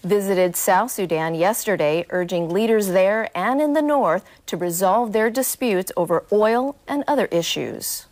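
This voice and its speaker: A woman's voice: mid-range voice, measured pace, few pauses, a little mellow. A woman reading scripted news stories as a news anchor. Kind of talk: scripted newsreel narration.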